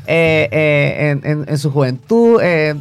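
A Chilean man with a somewhat high voice hosts a casual conversation. He talks freely, circling back and repeating words as he gathers his thoughts. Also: animated, slow pace, smooth. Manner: chatty, repeats words while finding them. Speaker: interview host. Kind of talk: casual interview chat.

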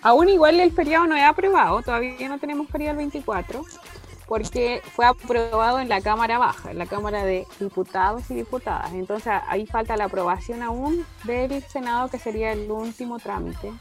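Woman cohost explaining stuff earnestly, kinda high voice, bit of music under. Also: fairly quick. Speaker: radio show co-host. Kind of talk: explanatory radio talk.

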